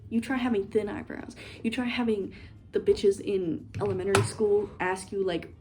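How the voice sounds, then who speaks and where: Chatty mellow-voiced young woman. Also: medium pitch, steady tone, normal speed, faint music behind. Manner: chatty, rambling, playful. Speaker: beauty vlogger. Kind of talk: casual get-ready-with-me chat. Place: dorm room, indoors.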